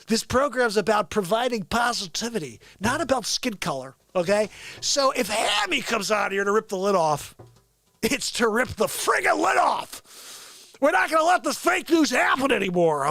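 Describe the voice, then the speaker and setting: Man putting on a high-pitched, bombastic news crusader. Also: sing-song, gravelly, pushed and bright, no echo, heavily acted. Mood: indignant. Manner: emphatic, drawn-out catchphrases. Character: loud, ranting male tabloid news crusader. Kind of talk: bombastic mock news rant.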